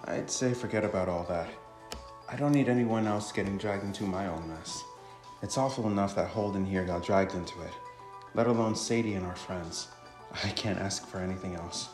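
A man's voice: low voice, normal speed, natural voice. A man voicing a troubled, earnest young fighter in a visual novel. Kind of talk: visual novel dialogue read aloud. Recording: roomy sound with music.

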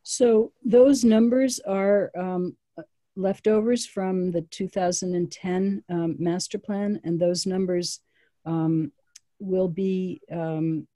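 A woman speaking as a town planning official at a public meeting over a video call, measured and pausing with frequent ums. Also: fairly low pitch, dark tone, dry recording.

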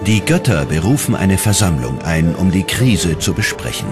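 A man narrates a documentary in a deep voice over clearly audible music. His scripted delivery is dramatic, like a storyteller's, with widely moving intonation. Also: very animated, normal speed.

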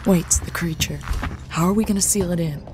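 A woman's voice: on the low side, a little fast, over music, close to an everyday voice. An actress playing a determined, wary woman survivor on a space station in a video game, speaking in a terse, guarded way. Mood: grim, urgent. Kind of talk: dramatic video game acting.

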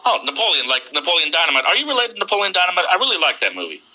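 A man's voice: a little high, very animated, racing. A man in a casual phone conversation, hesitating and repeating his words as he goes. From United States, Texas. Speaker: prank call recipient stringing along a scam caller. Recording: noisy phone call recording with clicks.

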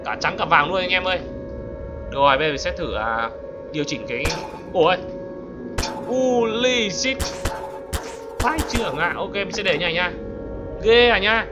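A man's voice: high voice, expressive, moderate pace, long pauses, muffled recording. A man giving casual, exclamatory gameplay commentary as a gaming YouTuber, throwing in English exclamations. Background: music.

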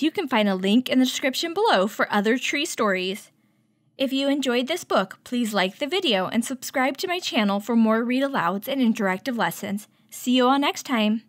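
High, lively woman reading to children. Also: no echo, studio quality. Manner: clear, instructive, addressing young children with questions. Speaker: children's story-time host and teacher. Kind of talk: children's picture book read-aloud with teaching questions.

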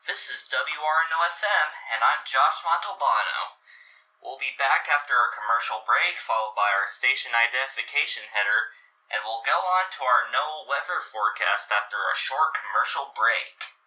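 Bright, forceful male radio host, acted. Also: mid-range voice, normal speed, low-quality recording. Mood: polite, businesslike. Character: polite male local radio news host. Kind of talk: scripted radio broadcast.

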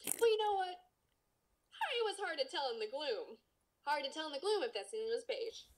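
High-pitched, animated woman podcaster. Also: normal speed. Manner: playful, mock-dramatic asides. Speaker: podcast co-host reading aloud. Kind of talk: book reading with casual comic chat.

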